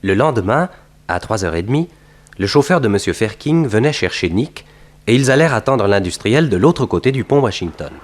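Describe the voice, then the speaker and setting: A man's voice: on the low side, expressive, fairly quick. A man narrating a scripted 1960s detective radio serial as its storyteller, on a slightly noisy vintage recording.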